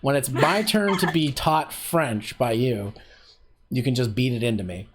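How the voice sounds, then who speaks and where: A man's voice: medium pitch, measured pace, background noise. A man hosting a casual voice acting workshop on a livestream, chatty and self-deprecating, often laughing at himself.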